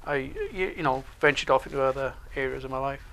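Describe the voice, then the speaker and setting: Man, Welsh accent, bright tone, relaxed halting talk. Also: medium pitch, creaky, poor audio. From Wales. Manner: relaxed, hesitant with many uh fillers. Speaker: show co-host and security instructor. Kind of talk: casual interview chat.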